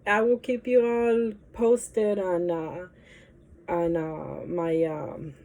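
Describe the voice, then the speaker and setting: A woman's voice: on the low side, flat delivery, drawn-out words, warm tone. Stuffy-nosed woman chatting to camera. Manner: rambling, hesitant, many fillers. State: stuffy nose from allergies. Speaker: vlogger. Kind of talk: casual chat to camera.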